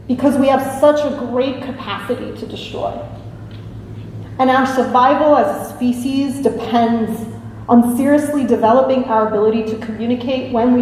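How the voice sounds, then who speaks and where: A woman from New York and Italy, a consultant giving a prepared talk in an earnest, emphatic, deliberate way. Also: on the high side, darkish tone. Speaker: speaker, evaluation consultant. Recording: distant echoey hall with faint noise.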